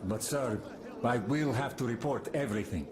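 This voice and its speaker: An actor playing a suspicious, dutiful soldier in a video game, curt and sceptical with a scornful edge. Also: male voice, medium pitch, normal speed, crowd noise, lightly acted. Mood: dutiful, objecting. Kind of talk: cinematic game dialogue acting.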